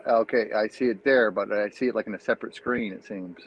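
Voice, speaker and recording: A man's voice: on the low side, steady tone, fast talker. A man from New Brunswick with a French Acadian accent, chatting hesitantly as a guest on a video call from a tablet, with lots of fillers and restarts. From New Brunswick, Canada. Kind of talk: casual chat on a video call. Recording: slightly dull lo-fi audio.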